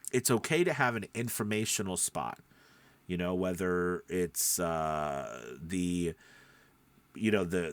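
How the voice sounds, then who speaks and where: A man's voice: lowish voice, no room sound. A man giving an informal tutorial as a voice-over coach, talking in a rambling, conversational way and often checking in with the listener. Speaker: voice-over coach and podcast host.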